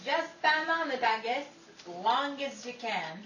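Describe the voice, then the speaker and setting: A woman playing a brash, scatty, overeager game-show contestant who blurts excitably, in old-time radio comedy style. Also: high-pitched, a little slow, highs cut off, exaggerated voice. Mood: cheeky. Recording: echoey, distant, with crowd noise.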